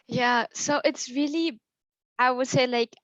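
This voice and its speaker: A woman's voice: high-pitched, steady tone, measured pace, dry recording. Upbeat young Austrian-born woman guest. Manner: fluent, upbeat, many fillers like "um" and "like". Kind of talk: casual interview. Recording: slightly dull, lo-fi call audio.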